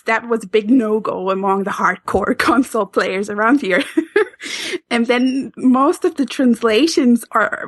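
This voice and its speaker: A woman's voice: medium pitch, dry recording. A Swiss woman with a Swiss German accent, chatting casually as a podcast guest, laughing often and restarting sentences. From Switzerland.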